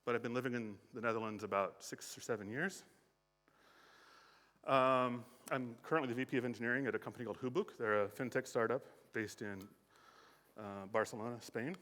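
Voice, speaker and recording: A middle-aged American man presents at a conference in a casual, unscripted way, stumbling over restarts and sprinkling in fillers. His voice is bright and forward, and a long hall reverb trails behind it. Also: mid-range voice, fairly even intonation, a little fast. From United States. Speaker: conference speaker. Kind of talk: conference talk.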